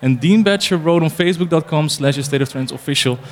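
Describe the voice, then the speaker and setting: A man's voice: fairly high pitch, fast talker, a little hiss or hum. Male radio co-host reading messages. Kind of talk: live radio chat, reading messages aloud. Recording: live broadcast over club music.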